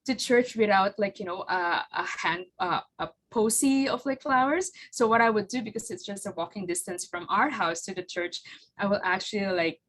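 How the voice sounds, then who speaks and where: A woman's voice: medium pitch, moderate pace, fairly bright tone. A woman in her forties from the Philippines, with Tagalog as her first language, presents in an easygoing way. Her speech is hesitant, full of ums and restarts. She sounds like she is talking on a video call. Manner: hesitant, many fillers and restarts. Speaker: guest speaker presenting her flower farm. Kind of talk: casual presentation.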